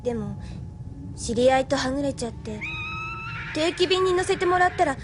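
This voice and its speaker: A woman's voice: high voice, expressive, normal speed, noisy background. A woman acting a spirited, curious, outspoken young island woman in a Japanese audio drama. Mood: pouting.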